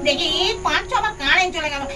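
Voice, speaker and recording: A harried village housewife from a puppet doll story, acted by a woman, high-voiced and chatty, prone to grumbling. She sounds a little away from the mic, with music behind her. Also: fast talker, recorded from a distance. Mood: complaining.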